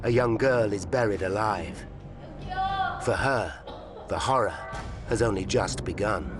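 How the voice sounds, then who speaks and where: A British man narrates a disaster documentary in a low voice, with loud music underneath. His delivery is grave and suspenseful, scripted for maximum drama. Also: measured pace. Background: dramatic music and disaster sound effects.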